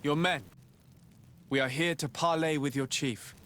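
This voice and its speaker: A man's voice: a little high, steady tone, unhurried, a bit bright, slight background noise. A man playing an earnest, courteous and resolute young nobleman envoy in a video game. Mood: polite, composed.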